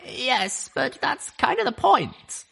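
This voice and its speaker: A man's voice: very high-pitched, sing-song, takes it slowly, gravelly, a bit bright, husky, voice pushed up, heavily acted. A man voicing a young female unicorn pony heroine in a storybook reading, earnest and pressing. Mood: urgent.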